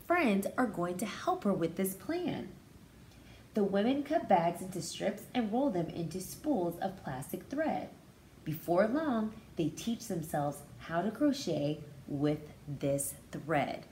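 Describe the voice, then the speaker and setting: A woman reads a picture book to young children in a mid-pitched, very animated voice. She pauses to explain words and feelings simply, as a preschool teacher does. There is audible noise behind her. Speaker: pre-K teacher reading aloud.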